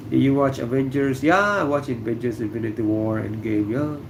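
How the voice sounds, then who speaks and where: A middle-aged man from Indonesia hosting a relaxed livestream, answering viewer comments with lots of fillers and pauses. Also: mid-range voice, noisy background. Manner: relaxed, halting, many fillers. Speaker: livestream host. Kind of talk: casual livestream chat reading viewer comments. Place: room indoors.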